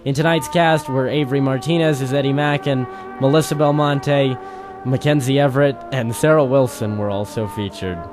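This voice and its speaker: A man's voice: medium pitch, fairly even intonation, normal speed, music in the background, natural voice. An actor playing an announcer and newsman, in the style of old-time radio. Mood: brisk, dramatic.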